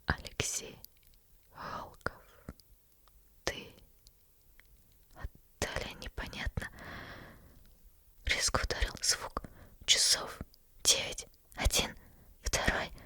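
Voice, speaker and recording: Woman ASMR storyteller, bright whisper, very slow. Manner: unvoiced whisper, slow and suspenseful. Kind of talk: whispered bedtime story narration.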